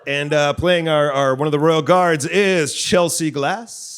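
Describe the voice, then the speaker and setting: A man's voice: on the high side, fairly even intonation, unhurried, heavy background noise. A man hosting and narrating a live audio play from a theatre stage. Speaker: host and narrator. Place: theatre stage before an audience.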